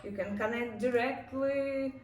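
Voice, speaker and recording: A non-native English-speaking woman giving a casual unboxing and product review in short, halting phrases. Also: fairly high pitch, darkish tone. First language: not English. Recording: echoey room, distant mic, faint noise.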